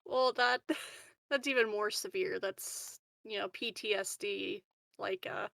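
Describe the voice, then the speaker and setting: A woman's voice: medium pitch, slow pace, gravelly, fairly bright tone, dry recording, studio quality. A woman playing a tabletop roleplaying game, chatting casually in character in a giggly, hesitant, rambling way. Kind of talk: casual in-character improvised chat.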